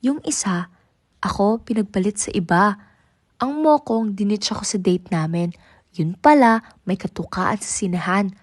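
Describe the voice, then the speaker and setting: A woman's voice: medium pitch, unhurried, long pauses, no echo, studio quality, lightly acted. A woman playing a young woman fed up with being dumped, venting sarcastically.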